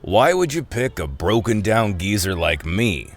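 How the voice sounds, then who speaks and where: A man's voice: fairly low pitch, sing-song, measured pace, vocal fry, heavily acted. An actor playing a world-weary, folksy thief in his seventies, wry, hesitant and slangy, in an audio drama. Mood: self-deprecating, puzzled. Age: 70s. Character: world-weary 75-year-old male ex-convict thief, folksy, skeptical.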